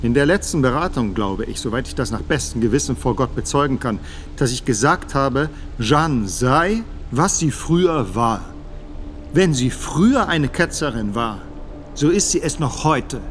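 An actor playing a careful middle-aged theology professor giving formal, measured testimony in a radio drama. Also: male voice, mid-range voice, lively intonation, faint music behind, natural voice. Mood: defensive, deliberate. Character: guarded, careful 56-year-old theology professor testifying as witness.

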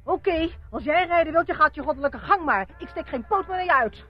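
An actress playing an anxious but stubborn journalist's wife in a Dutch radio play. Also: female voice, sits high, lively intonation, a little fast, faint music behind. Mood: defiant. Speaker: actor. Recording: dull, muffled old radio recording.

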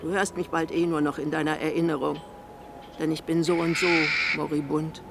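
A woman's voice: sits low, little pitch movement, normal speed, creaky, loud music behind the voice. An actress playing a sick, eccentric older mother in a German radio play, theatrical, reproachful and sarcastic. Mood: sardonic. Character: sick, eccentric old mother, bitter, manipulative, scolding her son.

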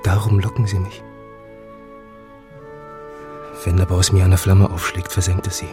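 An actor playing a solemn, brooding farmer in a literary radio drama, speaking gravely and deliberately. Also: male voice, low voice, little pitch movement, music in the background, lightly acted. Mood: troubled, resolute.

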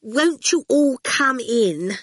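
A woman voicing a fussy, gushing, falsely bright spinster for a storybook, with strained politeness. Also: sits high, animated, unhurried, no echo, studio quality, pitched above the performer's own voice. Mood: forced brightness.

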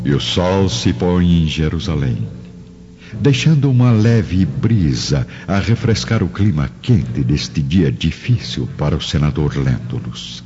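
A man's voice: rumbling low, lively intonation, unhurried. A man with a Brazilian accent narrating a scripted radio drama in a dramatic style. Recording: dull lo-fi with music behind.

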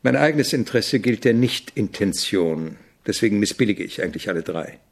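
An actor playing an opinionated, irritable avant-garde composer in a German radio drama, speaking in a didactic, deliberate way with a gravelly, husky voice. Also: male voice, lowish voice, expressive. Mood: reflective, didactic.

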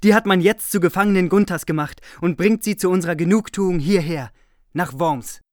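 A man plays a courtly messenger in a medieval radio drama. His fairly high voice is formal, proud and declamatory, recorded dry and close. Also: fairly quick, dry recording, lightly acted. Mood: proud, admiring. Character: loyal messenger knight, proudly reporting battle to a princess. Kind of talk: German radio play acting.

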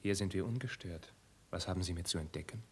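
An actor playing a sensitive, impassioned young prince in a husky, breathy voice, with theatrical 1950s radio drama delivery. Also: male voice, low-pitched, quick pace. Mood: guarded, hushed. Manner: theatrical, swinging between hushed and fervent. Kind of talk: classical German radio drama acting, 1950s.

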